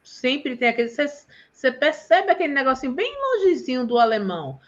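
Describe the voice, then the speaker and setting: A woman's voice: high voice, sing-song, brisk. A woman from Bahia in Brazil with a Bahian accent, talking in a chatty and emphatic way as host of a live stream. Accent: Bahian Portuguese. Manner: chatty, emphatic, says "gente" often. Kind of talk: casual live chat. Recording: dull lo-fi stream audio.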